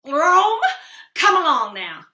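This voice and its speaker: A woman's voice: sits high, big pitch swings, slow, sharp tone, roomy sound, full character voice. A woman playing a proud, bossy small-town wife in a radio western, huffy and emphatic. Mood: outraged. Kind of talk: old-time radio western acting.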